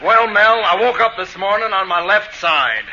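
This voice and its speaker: Henpecked lodge boss, high voice, pompous and grumbly, old radio comedy, super noisy recording. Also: male voice, animated, moderate pace, muffled recording. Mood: grumbling, weary. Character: henpecked pompous middle-aged male lodge president, grumbling. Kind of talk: 1940s radio comedy acting.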